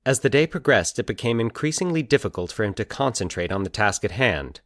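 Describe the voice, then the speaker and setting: A man narrating scripted eerie fiction in a measured, deliberate, formal storytelling manner. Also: lowish voice, expressive, a bit bright, no echo, studio quality.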